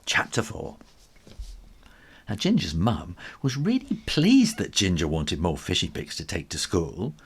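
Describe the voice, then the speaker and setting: Husky male storyteller, very expressive. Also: sits low. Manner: animated, dramatic, drawn-out. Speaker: children's author and storyteller. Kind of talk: expressive children's story reading.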